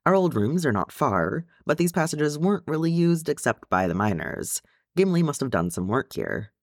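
A man's voice: a little high, sing-song, moderate pace, no room sound, studio quality, pitched above the performer's own voice. A man voicing an easygoing young dwarf companion in an audiobook story, explaining things in a familiar way.

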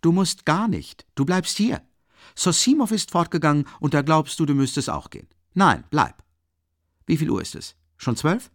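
A man's voice: on the high side, expressive, unhurried, pauses often, darkish tone, pitched above the performer's own voice. A man voicing an agitated, feverishly chatty young man in a dramatic audiobook reading, abrupt and insistent. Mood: nervously insistent.

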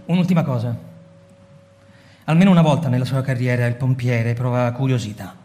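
An actor playing a cold, persuasive male fire captain lecturing a subordinate in a radio drama, smooth and insistent, sometimes hushed. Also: mid-range voice, a little fast, long reverb tail, natural voice.

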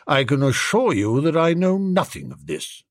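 Guy doing an old english duke, haughty, stiff and measured, very dry recording. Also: on the high side, huge pitch swings, no room sound. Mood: coldly polite.

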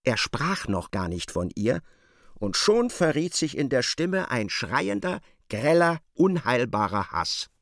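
Male narrator, gravelly, measured slow pace, very dry close recording, literary radio drama storytelling. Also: mid-range voice, very animated, no echo.

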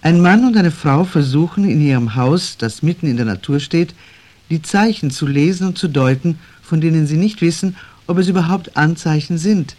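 Guy announcing a radio play, 70s broadcast style, voice a bit on the high side. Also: moderate pace, darkish tone. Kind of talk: scripted broadcast introduction, 1970s German radio.

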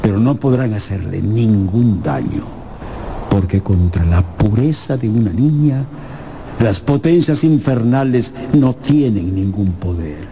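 An actor playing an old, sorrowful ghost who longs for death, solemn and pleading, in a radio drama. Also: male voice, on the low side, expressive, mellow, slight background noise. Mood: grave warning. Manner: slow, solemn, grave delivery.

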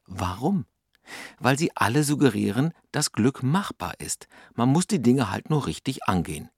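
A man narrating a radio feature in a measured, wry scripted style. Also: medium pitch, very animated, gravelly, slightly rough, no room sound, studio quality.

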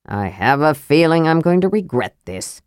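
A woman voicing a grumpy, skeptical old male dwarf who grumbles gruffly. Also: deep voice, very animated, normal speed, dry recording, clean studio sound.

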